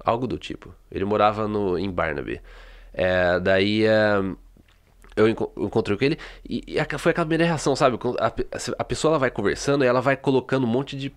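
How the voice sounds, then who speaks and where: A man's voice: lowish voice, a little fast. A Brazilian man co-hosting a podcast, telling stories in a rambling, casual way with many restarts. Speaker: podcast co-host. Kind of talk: casual chat. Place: home.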